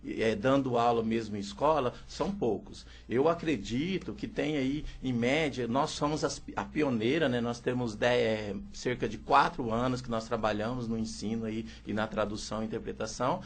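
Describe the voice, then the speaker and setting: A man's voice: medium pitch, normal speed, few pauses. A man, a teacher and interpreter, explaining steadily in an interview and often adding "né". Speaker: sign language teacher and interpreter. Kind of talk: radio interview answers.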